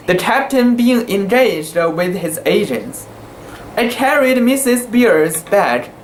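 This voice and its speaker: An amateur narrator giving a halting, theatrical reading of a literary sea story, sometimes stumbling over words. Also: medium pitch, slight background noise, poor audio. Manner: halting, theatrical delivery with stumbles and self-corrections.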